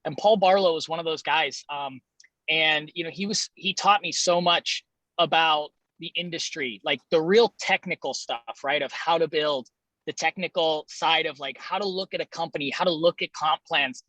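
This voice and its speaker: A man with a slightly high, very bright and forward voice hosts an informal training call. He speaks in an enthusiastic, chummy way, and the sound is dry and close. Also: moderate pace, dry recording, rough recording. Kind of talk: casual interview and introduction.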